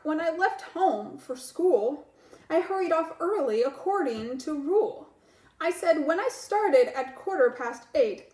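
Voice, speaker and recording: A woman's voice: high voice, darkish tone, roomy sound, heavily acted. A woman doing a stammering schoolboy. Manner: stammering, earnest. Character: flustered young schoolboy making excuses.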